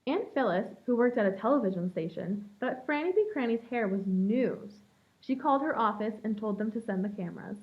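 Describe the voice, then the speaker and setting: High-voiced woman reading to young children. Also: a little fast. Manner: expressive, storytelling for young children. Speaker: preschool teacher reading aloud. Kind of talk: children's picture book read-aloud. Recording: dull lo-fi sound.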